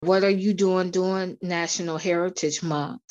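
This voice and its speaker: A woman hosting an interview, asking questions in a measured, encouraging way. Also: on the low side, fairly even intonation, a little mellow. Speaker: interviewer, host. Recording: dull lo-fi audio.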